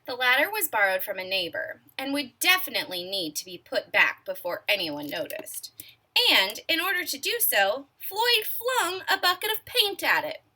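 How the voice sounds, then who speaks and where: A woman reading a picture book aloud to children in an expressive way, stopping to ask her young listeners questions and sometimes dropping to a hush for suspense. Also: on the high side, moderate pace.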